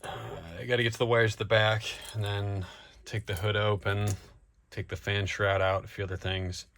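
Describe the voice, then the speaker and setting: Male DIY vlog host, lowish voice, informal and laid-back, narrating car work over vehicle noise. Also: level tone, moderate pace, a bit bright. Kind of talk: casual narration to camera while working. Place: in and around a vehicle. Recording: noisy car and engine ambience. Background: car and ambient noise.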